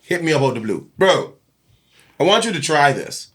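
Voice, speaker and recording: A man with a Bahamian accent speaking as a musician guest in an interview, telling stories in a casual, animated, slangy way and often seeking agreement. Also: on the high side, normal speed, slightly rough. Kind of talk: casual conversational storytelling.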